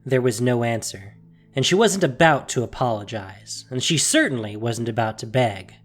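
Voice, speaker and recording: A man narrating a dramatic fantasy story solemnly, with deliberate pauses, over a music bed. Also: mid-range voice, expressive.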